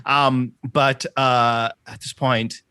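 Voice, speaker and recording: Male roleplayer, mid-pitched, dry sound, casual and halting as he improvises. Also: little pitch movement, sharp tone, clean tone, no echo. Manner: halting, searching for words. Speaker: player in a tabletop game. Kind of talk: casual, improvised character description.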